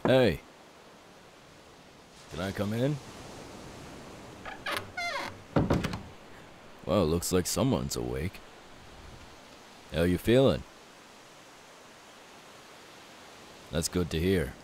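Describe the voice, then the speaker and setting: A kindly, self-assured young pirate played by a man speaks low and unhurried in an ASMR audio roleplay. He is soft-spoken and reassuring, with a faint hiss behind him. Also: animated. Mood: gentle, curious.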